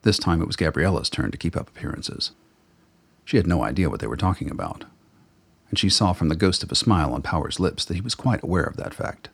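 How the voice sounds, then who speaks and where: Gravelly, very deep-voiced male narrator, husky, measured. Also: animated, fairly bright tone. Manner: measured, storytelling. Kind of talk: dramatic audio-drama narration.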